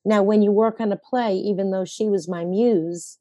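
A woman's voice: mid-range voice, fairly even intonation, normal speed, warm tone, no room sound. An older woman playwright talking in an interview, reflective and rambling, correcting herself mid-sentence with a wry sense of humor. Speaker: playwright guest. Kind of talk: conversational interview.

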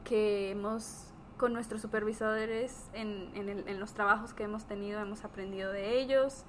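A woman in a casual discussion, explaining earnestly as a co-host and pausing between phrases. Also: medium pitch, steady tone, a little hiss or hum. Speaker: therapist, podcast co-host.

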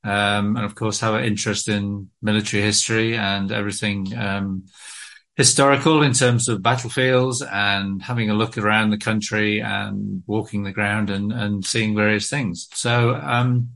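British man, bright, hesitant interview talk. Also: lowish voice, little pitch movement, moderate pace, vocal fry. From Britain. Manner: hesitant, many ums and restarts. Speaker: guest author.

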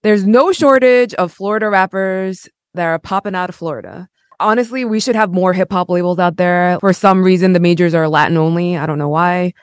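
A woman's voice: fairly low pitch, a little fast, no echo, clean studio sound. A woman hosting an interview in a casual, chatty style. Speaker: podcast interview host.

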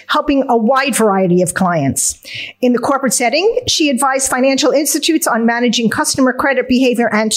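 A woman hosting an interview show, reading a guest's introduction and then chatting with short eager reactions. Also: a little high. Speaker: podcast host.

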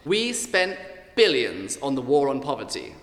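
A man's voice: mid-range voice, huge pitch swings, measured pace, fairly bright tone. A man playing a flamboyant, condescending right-wing provocateur in an audio-play panel debate, with a mocking, theatrical manner. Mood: smug, argumentative. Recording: echoey hall with long reverb.

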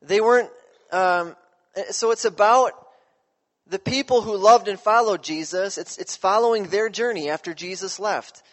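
Male pastor, high-pitched, chatty preaching style, echoing sanctuary. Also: normal speed, a bit bright. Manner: conversational, checks in with listeners. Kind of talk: sermon.

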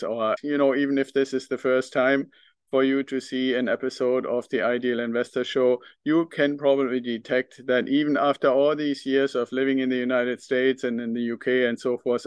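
A man's voice: medium pitch, little pitch movement, brisk, smooth, no room sound. A middle-aged man from northern Germany with a German accent, hosting a podcast in an earnest, reflective solo talk, thinking aloud with small fillers. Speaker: podcast host.

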